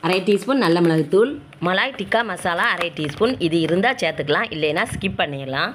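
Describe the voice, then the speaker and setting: Female home cook, southern Tamil Nadu accent, slightly low pitch, plain colloquial instruction for a cooking tutorial. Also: animated, brisk, fairly bright tone, slight background noise. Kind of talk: step-by-step recipe narration.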